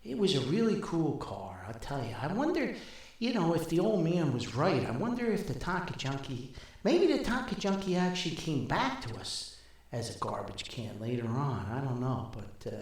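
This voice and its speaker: Older guy podcast host telling funny stories, folksy, gravelly creak, super echoey room. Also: fairly high pitch, very animated, moderate pace, a little mellow. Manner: folksy, chuckles often, acts out dialogue. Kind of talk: humorous anecdotal storytelling. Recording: very roomy, echoing sound.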